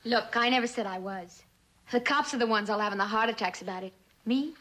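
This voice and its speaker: A woman's voice: a little high, a little fast, a bit bright, slight background noise, close to an everyday voice. A woman playing a defiant, streetwise young drifter in a mid-century TV drama, with blunt, slangy, offhand delivery.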